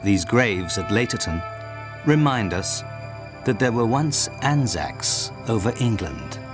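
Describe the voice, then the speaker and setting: A man's voice: on the low side, big pitch swings, slow, over music. A man reading scripted narration for a documentary as its narrator.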